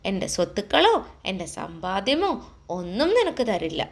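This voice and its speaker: Storybook father played by a woman, hardworking and strict, mid-pitched, firm scolding delivery. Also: fairly bright tone. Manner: firm, admonishing. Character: stern, hardworking father lecturing his spendthrift son.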